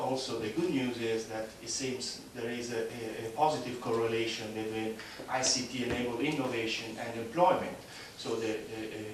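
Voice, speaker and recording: A man giving a conference presentation, speaking haltingly with many fillers and restarts. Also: medium pitch, a little hiss or hum. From Italy. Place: large conference hall. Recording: echoey hall, speaker far off.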